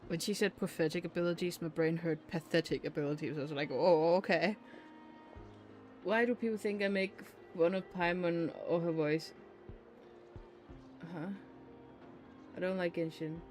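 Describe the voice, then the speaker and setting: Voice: medium pitch, steady tone, normal speed, pauses often, a little mellow, faint music behind. A streamer giving casual, rambling and blunt commentary on a game, swearing freely.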